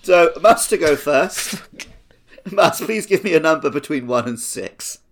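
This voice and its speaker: A man's voice: fairly high pitch, expressive, a little slow. A man hosting a comedy game show podcast in a casual, playfully strict and teasing way. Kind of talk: casual comic quizmastering.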